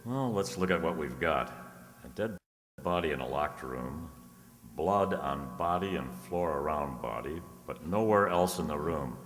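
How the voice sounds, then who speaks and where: Man playing a cool, bottom-deep detective, unhurried and wry, radio crime drama recorded in an echoing hall. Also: animated, a bit bright, faint music behind, exaggerated voice. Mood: shrewd, deducing. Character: cool, shrewd, wry male private detective. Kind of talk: detective radio play acting.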